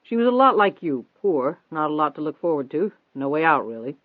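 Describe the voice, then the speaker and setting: A man voicing a persistent, confident newspaper reporter in an audiobook, steady, probing and insistent. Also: on the high side, a little fast, no echo. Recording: thin phone-like band-limited audio.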